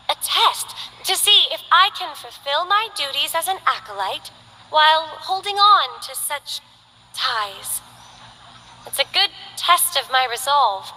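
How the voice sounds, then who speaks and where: A woman voicing a timid, devout young acolyte who talks softly and apologizes often. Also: high voice, slight background noise, heavily acted, rough recording. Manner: soft, hesitant, apologetic. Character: gentle young female acolyte of a holy order, timid and devout. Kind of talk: game character voice lines.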